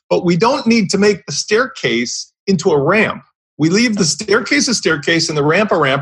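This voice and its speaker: A man making his point bluntly on a podcast panel, swearing as he goes. His voice sits slightly high and sounds very husky, with no clear tone to it. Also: fairly bright tone. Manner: blunt, emphatic, swears casually. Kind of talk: opinionated casual discussion.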